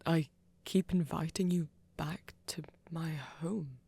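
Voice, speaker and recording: A woman playing a flirty, courtly vampire in an intimate audio roleplay, soft and fond with slightly formal phrasing. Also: sits low, fairly even intonation, takes it slowly, gravelly, breathy edge, dry recording, exaggerated voice. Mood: tender, reassuring.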